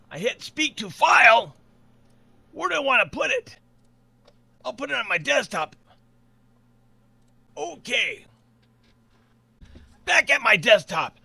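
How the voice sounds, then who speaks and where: A man with an extremely high, bright voice presents an upbeat how-to lesson. His delivery swings widely in pitch and leans goofy, with exaggerated exclamations and asides. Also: creaky, distant mic. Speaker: instructor.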